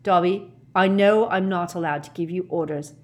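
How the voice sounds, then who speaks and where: A woman's voice: fairly low pitch, moderate pace, lightly acted. A woman voicing an earnest teenage boy wizard who speaks firmly and plainly.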